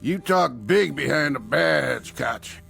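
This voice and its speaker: An actor playing a sleazy, loud-mouthed western peddler who taunts people in a mocking, theatrical drawl on a vintage television drama. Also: male voice, on the high side, expressive, slow pace, vocal fry, faint music behind, heavily acted. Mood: sly needling.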